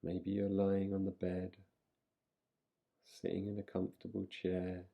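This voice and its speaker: A man guiding a relaxation meditation in a soothing, unhurried manner, leaving long pauses between short phrases. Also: low-pitched, monotone, creaky, highs cut off. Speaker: meditation guide. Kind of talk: guided relaxation meditation, yoga nidra.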